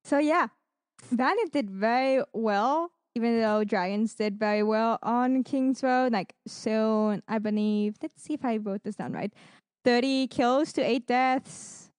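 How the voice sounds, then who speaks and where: A woman's voice: a little high, a little slow, darkish tone, smooth, no echo, studio quality. A woman co-hosting a podcast, recapping esports matches in a casual, gushing, enthusiastic way. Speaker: podcast co-host.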